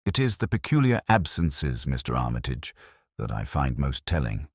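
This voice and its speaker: A man voicing a cool, incisive detective in an audiobook, speaking formally and deliberately with exacting, probing questions. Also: very deep voice, big pitch swings, gravelly, slightly rough, no room sound, clean studio sound, full character voice. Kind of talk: audiobook character reading.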